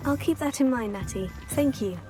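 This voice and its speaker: A woman's voice: high voice, normal speed, dark tone, soft background music, lightly acted. A woman playing a polite, curious teenage witch, a new student in a video game, courteous and composed.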